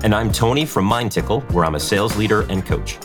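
A man with a somewhat low voice co-hosts a business podcast, friendly and conversational. Quiet music plays faintly behind him. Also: lively intonation, quick pace. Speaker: podcast co-host, sales leader. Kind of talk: podcast hosting.

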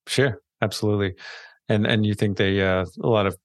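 A man's voice: lowish voice, fairly even intonation, a little fast, no echo, clean studio sound. A man hosting a podcast interview, asking questions and affirming often. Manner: lots of affirming backchannels. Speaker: podcast host.

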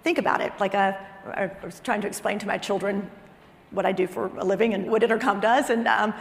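A woman's voice: mid-range voice, fairly even intonation, fairly quick. A woman giving a business keynote, speaking emphatically with repeated phrases and conversational asides. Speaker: chief marketing officer giving a conference talk. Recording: reverberant hall sound.

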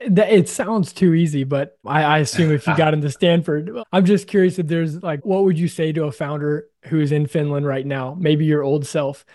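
A man's voice: fairly high pitch, fairly even intonation, normal speed, few pauses, darkish tone, no echo, clean studio sound. A man hosting a startup podcast, upbeat and casual, sometimes hesitant as he frames his questions. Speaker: podcast host. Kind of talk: podcast interviewing and intro narration.

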